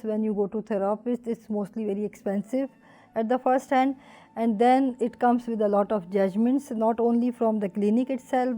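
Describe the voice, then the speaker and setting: A woman from Pakistan speaks in an interview. Her voice is mellow and sits a little high, and she talks hesitantly, filling gaps with "uh". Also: rough recording. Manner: hesitant, many fillers. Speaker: PhD student in journalism. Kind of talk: interview testimony.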